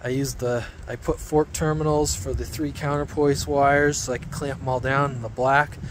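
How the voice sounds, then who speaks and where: A man giving a casual, off-the-cuff how-to explanation outdoors in an informal, rambling, halting way. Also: mid-range voice, level tone. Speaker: hobby radio vlogger. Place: outdoors among trees. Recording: outdoor handheld video with background noise.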